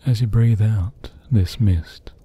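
A man with a lowish, very mellow and dark voice guides a sleep meditation. His tone is husky and breathy, hushed and soothing. He delivers each phrase gently and leaves long pauses. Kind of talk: guided sleep meditation, slow scripted narration.

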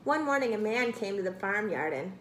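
Woman reading a picture book for kids, expressive, echoey room with a bit of noise. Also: mid-range voice, fairly quick. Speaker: storybook reader. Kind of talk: expressive read-aloud to children. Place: small room indoors. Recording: roomy, some background noise.

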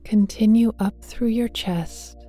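A woman guides a meditation in a very dark, soft, mellow voice. She speaks slowly and gently, hushed and often breathy to the edge of a whisper, addressing the listener with tender affection. Soft music plays behind her. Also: on the high side. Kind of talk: guided meditation, slow and soothing. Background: soft meditation music with singing bowls.

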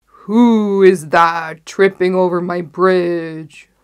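A woman performs a great ugly troll from a storybook, hungry and threatening. The words come out in a loud, drawn-out roar, slow and menacing. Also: lowish voice, fairly even intonation, clear, resonant tone. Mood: roaring, challenging.

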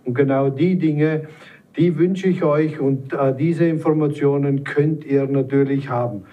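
A man in his forties from South Tyrol in Italy, urging listeners in a persuasive sales pitch. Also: fairly even intonation, unhurried, far from the mic, phone-quality sound. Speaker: network marketer. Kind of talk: promotional panel talk.

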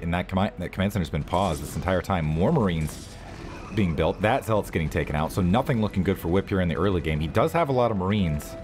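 A man with a sore, hoarse throat giving casual play-by-play commentary on a strategy game match from home, chatty and rambling between calls. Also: sits low, a little mellow. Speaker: game caster. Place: home room, daughter nearby.

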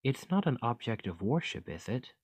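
A man playing a gentle, curious fantasy archaeologist in an ASMR roleplay, speaking in a formal, musing, articulate way. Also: medium pitch, big pitch swings, moderate pace, no echo, clean studio sound. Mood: kindly, earnest.

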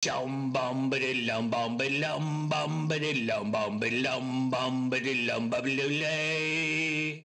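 The creaky little machine-fish chanting clipped nonsense on a monster island in a music game, dry and close. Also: male voice, medium pitch, no echo. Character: mechanical one-eyed robot fish monster, chanting rhythmic nonsense syllables. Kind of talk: rhythmic scat singing for a game.

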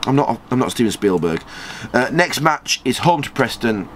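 A man in his thirties chats his way through a football management game, reading comments aloud. His pitch swings up whenever something surprises him. A faint background hum sits under the recording. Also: very animated, a bit bright. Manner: chatty, rambling, quick jumps in pitch when surprised. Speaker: gaming channel host.

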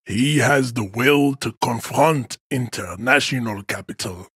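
Haughty hulking game strongman, slow and husky, grandiose speeches. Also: medium pitch, fairly bright tone, dry recording, studio quality. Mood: haughty, declamatory. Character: pompous, stoic, hulking male race-theorist strongman. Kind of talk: video game character acting.

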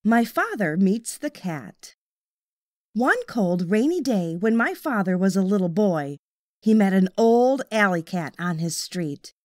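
A woman's voice: medium pitch, sing-song, a little slow, darkish tone, no room sound, studio quality. A woman reading a children's audiobook as scripted storybook narration.

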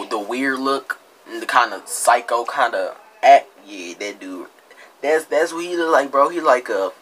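A man's voice: lowish voice, sharp tone, a little hiss or hum, poor audio. A young American man giving casual, slangy comedic commentary, mocking and exasperated, often repeating himself. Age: young adult. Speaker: reaction video host. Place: indoor room with green screen.

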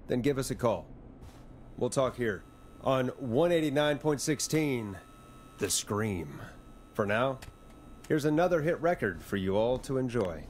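An actor playing a jaded late-night radio host in a video game, with a wry, exasperated manner. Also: male voice, mid-range voice, slight background noise, close to an everyday voice. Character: jaded fallen big-city radio DJ, dry and weary. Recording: music playing behind the voice.

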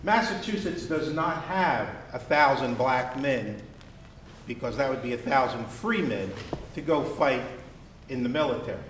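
A man from New Jersey with an American accent, giving an educational history talk in a measured, deliberate manner with emphatic pauses on key words. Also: medium pitch, expressive, fairly bright tone. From New Jersey, United States. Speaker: living-history presenter in Civil War uniform. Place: wood-panelled memorial hall, indoors, with student audience. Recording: distant mic, echoey room, background noise.

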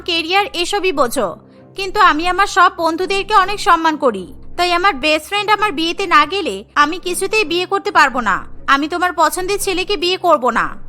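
A woman voicing a kind-hearted young rich bride in a cartoon, who speaks warmly and stands firm for her friend. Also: very high voice, full character voice. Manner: warm, firm when defending friend.

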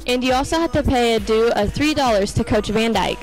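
A woman's voice: fairly high pitch, fairly quick, faint music behind, poor audio. A teenage girl reporting on a school club for a student news show, holding a handheld mic in a gym. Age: teens. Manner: plain reading of club details. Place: school gymnasium, indoors.

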